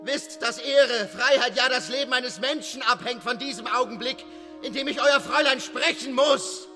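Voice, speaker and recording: Man acting a desperate, high-pitched young man pleading. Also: moderate pace, bright, forceful tone. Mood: desperate imploring. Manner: urgent, dramatic pleading. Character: desperate, imploring young man begging entry at night. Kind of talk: dramatic literary audiobook acting. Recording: reverberant hall, faint music behind.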